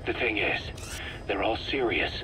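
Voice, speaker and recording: Bitter, low-voiced military commander. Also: male voice, lively intonation, unhurried, noisy background. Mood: resentful, uneasy. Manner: terse, confrontational, grumbling. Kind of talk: dramatic game voice acting.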